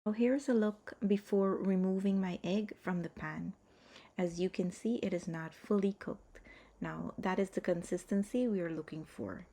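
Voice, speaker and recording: A woman's voice: mid-range voice, normal speed, mellow. A woman hosting a cooking video, explaining each step in an orderly, instructional voice-over. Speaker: cooking channel host.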